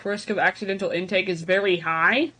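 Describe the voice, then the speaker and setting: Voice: mid-range voice, steady tone, a little fast. Casual reaction host over noisy background. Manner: casual asides between read-out passages. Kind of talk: reaction commentary, reading on-screen text aloud and speculating.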